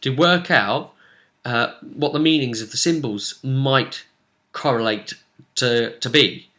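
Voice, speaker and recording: A man's voice: medium pitch, takes it slowly, bright, forceful tone. A man explaining a tabletop role-playing session as game master in casual conversation, often turning statements into tag questions.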